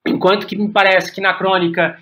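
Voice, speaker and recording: A man's voice: sits high, level tone, fairly bright tone. A Brazilian man talks as a guest in an interview. He speaks in a reflective, searching way, often stopping to repeat a word before he finds the rest of his thought. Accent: Brazilian Portuguese. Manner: halting and searching, repeats words as thinking aloud. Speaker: podcast guest, playwright and director.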